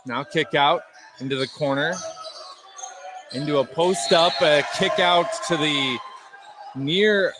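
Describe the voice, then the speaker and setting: A man's voice: a little high, slow pace, pauses often, over music. A man doing live play-by-play radio commentary on basketball, describing the action in short, clipped phrases. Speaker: play-by-play announcer. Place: indoor basketball gym, courtside broadcast. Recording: noisy gym sound, bouncing balls. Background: loud gym ambience, basketball bounces.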